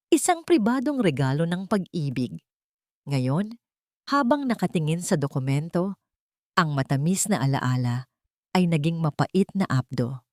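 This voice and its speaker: A low-voiced woman narrates a scripted love drama. Her delivery is measured and solemn, like serious storytelling. The sound is very dry, with no echo around the voice. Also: darkish tone.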